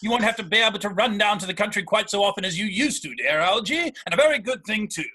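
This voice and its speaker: A man acting an earnest, exasperated young gentleman in a Victorian stage comedy, speaking formally and with indignant emphasis. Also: high-pitched, animated, quick pace, breathy edge, heavily acted.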